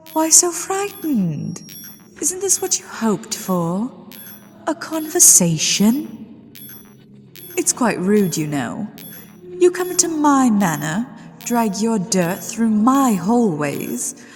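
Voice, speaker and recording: A haughty lady ghost of a haunted manor, acted out by a woman, speaks slowly in a theatrical, imperious ASMR roleplay. Her delivery swings widely in pitch as she teases and threatens. Long reverb trails every phrase. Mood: teasing, intrigued, then haughty menace. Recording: long hall reverb with music behind.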